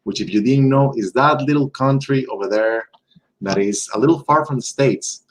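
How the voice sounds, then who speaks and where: A young man from Venezuela whose first language is Spanish, giving a steady, measured talk to teens as a guest speaker. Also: mid-range voice. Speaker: electrical engineer, guest speaker.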